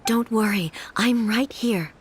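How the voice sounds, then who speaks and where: An actress playing a composed, solemn shogun deity in a video game, speaking in a measured, formal manner. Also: female voice, on the high side, a little hiss or hum. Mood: calm reassurance. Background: game music.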